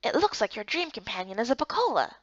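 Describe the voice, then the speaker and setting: A woman's voice: on the high side, very animated, fast talker, no echo, highs cut off. A woman voicing a patient, helpful male guild guide in a visual novel game, speaking politely and instructively.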